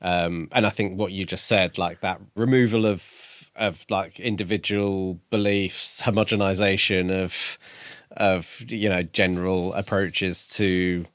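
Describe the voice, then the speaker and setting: A man's voice: low-pitched, fairly even intonation, measured pace, a bit bright, no room sound, studio quality. A man co-hosting a podcast, casually discussing books in a thoughtful, agreeable manner. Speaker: podcast co-host.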